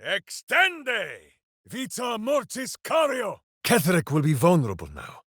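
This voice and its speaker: A hulking, earnest ranger from a fantasy video game who refers to himself in the third person and makes grand heroic proclamations about good and evil. His delivery is slow and deliberate, and his pitch swings widely between booming highs and low rumbles. The sound is dry and close, with no room around it. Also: huge pitch swings, fairly bright tone, breathy edge, no echo, full character voice. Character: hulking earnest male ranger warrior with a pet hamster. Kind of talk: game voice lines.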